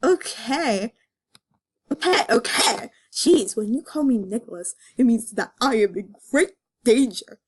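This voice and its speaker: A cocky cartoon fox guy, acted out by a woman, with a raised voice and an unhurried pace. He teases and gushes in a sappy, melodramatic way. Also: on the high side, animated, husky, full character voice. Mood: grumpy, sleepy. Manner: melodramatic, teasing, effusive.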